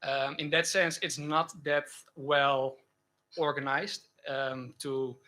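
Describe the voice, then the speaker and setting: A man giving a technical conference talk and answering questions, speaking haltingly with frequent self-corrections. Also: medium pitch, flat delivery, bright, forceful tone. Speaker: conference speaker presenting a project.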